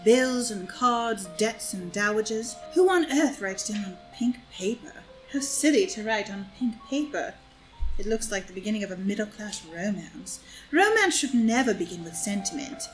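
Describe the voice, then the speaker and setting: A woman's voice: medium pitch, lively intonation, moderate pace, soft background music, natural voice, poor audio. A woman playing an elegant, haughty and scheming society villainess in an audio drama reading, arch and disdainful.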